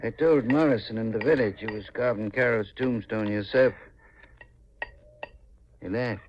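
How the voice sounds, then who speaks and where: A man's voice: lowish voice, a little slow, natural voice. An actor playing a plain-spoken, fatherly older village man in a 1950s radio drama, with a rustic manner. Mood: gossipy, uneasy. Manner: folksy, rustic. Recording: muffled 1950s radio recording, faint noise.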